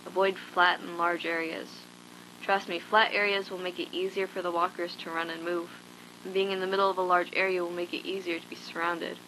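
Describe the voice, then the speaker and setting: A woman performs a hardened survivor of a zombie apocalypse dictating a log for an audio drama. Her voice is slightly low yet bright and forceful. She instructs bluntly and matter-of-factly, with a touch of condescension, over a faint noisy hum. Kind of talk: scripted monologue as a survival log.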